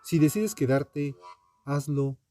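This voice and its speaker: Slow-paced man narrating true crime. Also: fairly high pitch, mellow, dry recording, faint music behind. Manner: dramatic, deliberate storytelling with pauses. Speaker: podcast host.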